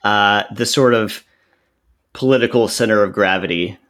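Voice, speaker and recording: A man in his thirties from Central Texas, a podcast guest who explains his views in an earnest, measured way. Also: on the low side, fairly bright tone. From Central Texas, USA. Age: 30s. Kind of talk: podcast interview answers.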